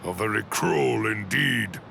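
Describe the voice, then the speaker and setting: An actor playing a stern, fierce old head captain in an anime game, gravelly and imperious, speaking with grave, measured authority. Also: male voice, on the low side, sharp tone, slight background noise, heavily acted. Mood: grave, menacing. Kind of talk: dramatic anime game dub acting.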